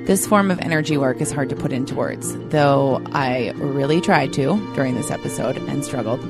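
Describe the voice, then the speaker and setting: A woman with a Minnesota accent hosting a conversational podcast, playful and self-deprecating. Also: rumbling low. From Minnesota, United States. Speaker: podcast host.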